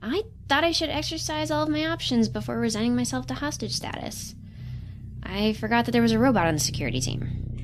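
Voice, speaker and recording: A woman's voice: fairly high pitch, lively intonation, brisk, close to an everyday voice. A woman playing an earnest, plucky young science intern in an audio drama, matter-of-fact and polite. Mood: defiant, resigned. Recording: clear background noise.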